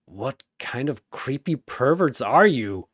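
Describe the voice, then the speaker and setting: Man putting on a gravelly, gruff alien warrior. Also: medium pitch, expressive, slow pace, no room sound, studio quality. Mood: gruff, suspicious. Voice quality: rough, gravelly. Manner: curt, interrupting. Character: gruff battle-worn male alien warrior, curt and irritable.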